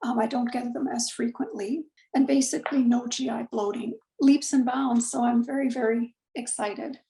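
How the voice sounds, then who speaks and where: A woman talks in a relaxed, rambling way, her voice a little high and gravelly. She fills pauses with ums and corrects herself as she goes. The sound is echoey, like a room picked up over a video call. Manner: chatty, many fillers and self-corrections. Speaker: client giving a testimonial. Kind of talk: casual conversational testimonial.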